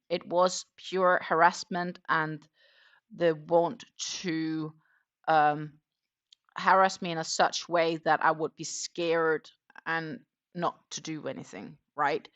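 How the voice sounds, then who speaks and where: A woman's voice: lowish voice, a bit bright, highs cut off. A middle-aged woman hosting a crochet livestream, talking in a casual, rambling way with frequent ums as she tells about her weekend. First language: not German. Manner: chatty, rambling, frequent um fillers. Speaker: crochet channel host.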